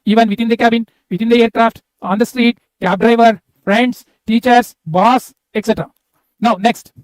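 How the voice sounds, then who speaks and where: A man's voice: extremely high pitch, steady tone, moderate pace, no room sound. A man with an Indian accent teaching a language lesson, instructive and repetitive, breaking phrases down word by word. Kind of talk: spoken language lesson with slides.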